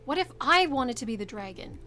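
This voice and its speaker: Grouchy, animated high-voiced actress as a sister. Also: female voice, a little fast, a little hiss or hum, full character voice. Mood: aggrieved. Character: grouchy, aggrieved, grudge-holding sister. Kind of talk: audio drama acting.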